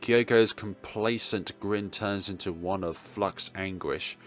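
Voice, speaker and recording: A man's voice: fairly low pitch, takes it slowly, soft background music. A man hosting a let's play, reading dramatic narration aloud with casual, jokey asides.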